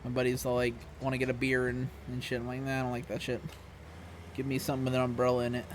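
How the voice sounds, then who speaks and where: Hoarse young man, flat laid-back voice. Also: medium pitch, fast, background noise. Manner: laid-back, chatty, talks to viewers. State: hoarse, scratchy voice. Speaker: live streamer. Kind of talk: casual live-stream chat. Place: room at home. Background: music playing.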